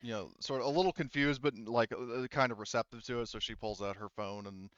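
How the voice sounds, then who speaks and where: A man casually narrating a tabletop game as game master, explaining to players in a rambling, halting way. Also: medium pitch, a bit bright, dry recording, muffled recording. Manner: rambling, hedging, halting self-corrections.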